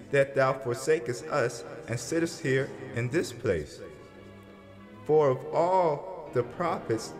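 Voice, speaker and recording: A man playing an earnest prophet who narrates in a measured, solemn way in a dramatized scripture reading. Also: mid-range voice. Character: earnest male prophet narrating his encounter with his people. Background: music.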